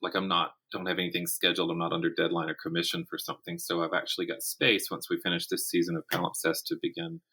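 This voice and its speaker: Low, bright-voiced middle-aged man. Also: flat delivery, quick pace, hardly any pauses, breathy edge. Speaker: guest, writer and college teacher. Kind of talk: casual interview conversation.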